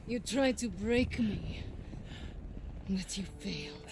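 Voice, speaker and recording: An actress playing a vengeful, defiant Soviet sniper, speaking with deliberate contempt in a game. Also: female voice, mid-range voice, noisy background. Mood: cold menace.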